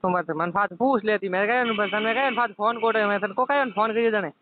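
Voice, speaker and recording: Man, high voice, Rajasthani accent, casual phone chat, tinny line, slight background noise. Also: rapid-fire. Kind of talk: casual private phone call.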